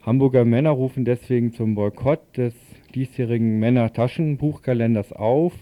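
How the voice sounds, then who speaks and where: A man's voice: medium pitch, normal speed, dark tone, dry recording. A man reading news summaries as a radio presenter.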